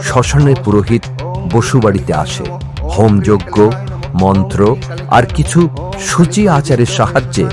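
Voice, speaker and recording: A man's voice: fairly low pitch, lively intonation, moderate pace, long pauses. A man narrating a scripted horror story for a cartoon. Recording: loud music over the voice. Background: loud music.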